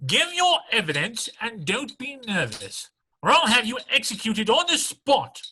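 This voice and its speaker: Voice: mid-range voice, wildly swooping pitch, measured pace, sharp tone, slightly rough, exaggerated voice. A performer playing an imperious, impatient royal judge who barks commands in a dramatic reading. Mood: threatening, curt. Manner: commanding, blustering. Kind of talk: dramatic reading of a classic children's story.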